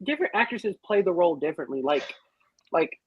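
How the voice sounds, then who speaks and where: A woman's voice: on the low side, sing-song, fast, slightly rough, no room sound, highs cut off. A woman speaking casually and emphatically as a podcast panelist in a group review, explaining things in detail. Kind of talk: casual group chat, film and musical review.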